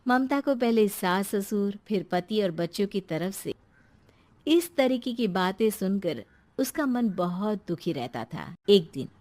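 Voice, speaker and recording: A woman's voice: mid-range voice, lively intonation, a little slow, darkish tone. A woman narrating a scripted family moral story as its storyteller.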